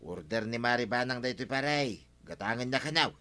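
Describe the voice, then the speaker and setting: Bright-voiced grumbling village man. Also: medium pitch, expressive, fairly quick. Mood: blunt, bantering. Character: grumbling, blunt village firewood seller. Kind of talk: Filipino radio comedy drama acting.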